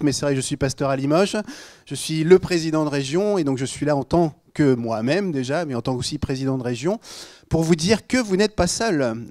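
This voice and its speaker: A man's voice: a little high, a little mellow, dry recording, studio quality. A middle-aged man from Normandy with a northern French accent, speaking as a guest pastor in an informal, joking, conversational way to a congregation. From Normandy, France. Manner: jokey, conversational, addressing listeners directly.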